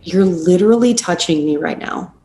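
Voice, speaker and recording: A woman's voice: lowish voice, normal speed, mellow, close to an everyday voice. A woman playing a blunt, sarcastic, impatient young best friend in a script table read. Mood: sardonic, impatient. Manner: sardonic, impatient. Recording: echoey room with faint noise.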